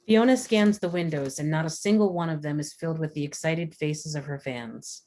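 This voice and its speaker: A woman's voice: low voice, moderate pace, dark tone, rough recording. A woman reading scripted stage directions as narrator at a screenplay table read.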